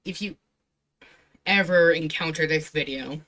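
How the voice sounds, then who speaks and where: A woman's voice: deep voice, unhurried, fairly bright tone. A woman streamer giving casual reaction commentary, giggly and sarcastic, with blunt swearing.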